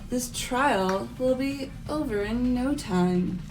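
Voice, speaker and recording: A haughty student accuser in a murder-mystery game, acted by a woman. The voice is fairly high and measured, with music playing underneath. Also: low-quality recording. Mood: haughty, certain.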